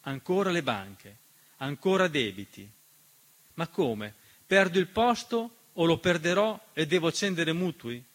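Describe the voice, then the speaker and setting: A man's voice: fairly high pitch, lively intonation, a little slow, a bit bright. A man performing a scripted theatrical monologue as narrator, declamatory and accusatory, full of rhetorical questions. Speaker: stage narrator and writer-performer.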